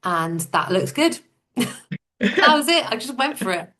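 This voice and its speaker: A woman's voice: fairly high pitch, big pitch swings, measured pace, sharp tone. A woman guest on a podcast, telling her own story in a casual, matter-of-fact, informal way.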